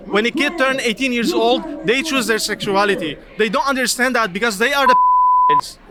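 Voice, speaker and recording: A man from Lebanon speaks in a heated, insistent way during an outdoor street interview at a protest. His voice is very high for a man, and a loud crowd roars behind him. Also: fairly quick.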